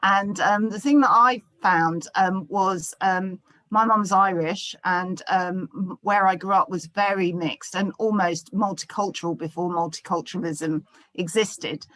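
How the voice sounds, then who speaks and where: A woman in her fifties from South London with a London accent, telling her own story in a live discussion and pausing often on fillers. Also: on the low side, fairly bright tone, no room sound, low-quality recording. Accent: London English. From South London, England. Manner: hesitant, many ums and fillers. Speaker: audience member asking a question. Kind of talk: casual personal remarks in a live discussion.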